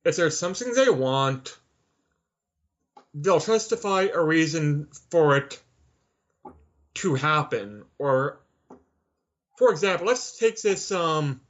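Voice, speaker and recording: A man's voice: on the high side, animated, slow, long pauses. A man from Canada speaking as an interview guest in an assertive, opinionated, conversational way. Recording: slightly dull lo-fi audio.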